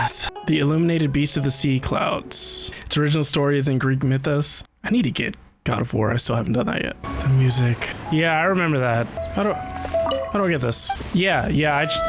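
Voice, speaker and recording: A game streamer chats casually to viewers while playing. The voice is mid-pitched and enthusiastic, full of exclamations, with music clearly behind it. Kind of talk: casual livestream game commentary.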